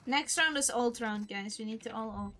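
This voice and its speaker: A young woman in her twenties with a fairly high voice, playful and teasing as she calls out plays to her team. She swears freely and jokes at her teammates' expense. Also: normal speed, bright, forceful tone. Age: 20s. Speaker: streamer. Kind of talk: casual gaming chat with callouts.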